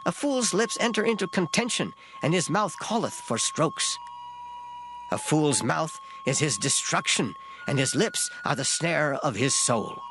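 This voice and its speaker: A man giving a dramatized Bible reading in a solemn, measured, declamatory manner. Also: fairly high pitch, sing-song, poor audio. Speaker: scripture reader. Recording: plucked guitar music behind voice. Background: plucked string music.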